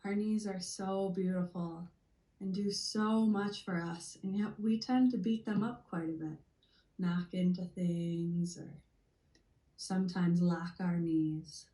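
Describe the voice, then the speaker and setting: A woman leading a gentle guided meditation in a soothing, deliberate manner, leaving long pauses. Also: lowish voice, fairly even intonation. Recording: roomy, echoey sound.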